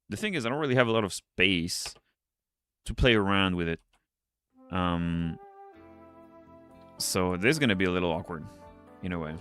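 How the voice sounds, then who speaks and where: A man with a deep voice, English not his first language, chatting casually on a livestream as he draws, rambling, muttering to himself and swearing now and then. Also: fairly bright tone. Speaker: streamer. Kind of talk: casual livestream chat while drawing.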